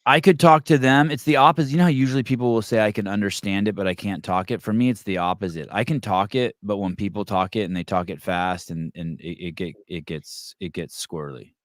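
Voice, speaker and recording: A man of Armenian first language hosting a podcast, asking rambling, halting questions in a frank, casual way. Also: on the low side, no room sound, studio quality. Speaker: podcast host. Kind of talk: casual interview talk.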